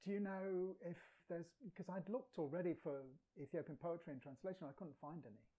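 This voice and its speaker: A man's voice: fairly high pitch, fairly even intonation, a little fast, slightly rough, lo-fi sound. A man who grew up partly in England, chatting as a guest poet and translator, telling anecdotes and laughing now and then. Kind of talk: casual storytelling.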